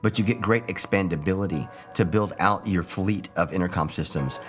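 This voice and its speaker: A man's voice: low voice, normal speed, soft background music. A man hosting a video review with an emphatic presenter's delivery. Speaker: video host.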